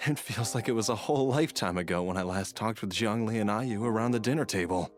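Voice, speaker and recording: An actor playing a gentle, bewildered husband and father with a halting manner in an anime video game. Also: lowish voice, faint music behind, natural voice. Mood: sheepish, wistful. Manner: hesitant, halting.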